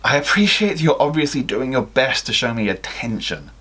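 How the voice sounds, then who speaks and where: A man's voice: medium pitch, very animated, a little fast, bright, forceful tone, husky, exaggerated voice. A man voicing an exasperated teenage schoolgirl from a story, firm and emphatic but trying to be kind. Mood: restrained, firm.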